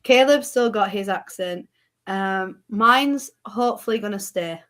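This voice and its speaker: A woman with a Yorkshire accent, a guest talking casually and quickly, laughing easily. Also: medium pitch, a bit bright. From Yorkshire, England. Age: adult with children. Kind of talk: casual chat.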